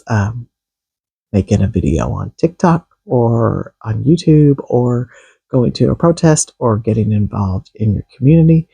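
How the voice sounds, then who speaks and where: A man getting over a cold, talking in a froggy, husky voice with earnest, halting political commentary in a casual vlog. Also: mid-range voice, dark tone, no echo. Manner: earnest, with frequent restarts and coughs. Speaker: vlogger.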